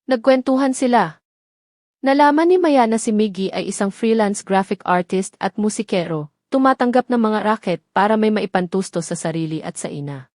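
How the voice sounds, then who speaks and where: A woman's voice: medium pitch, moderate pace, a little mellow, no echo, clean studio sound. A woman with a Filipino accent reading a scripted story as its narrator. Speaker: narrator of audio drama stories.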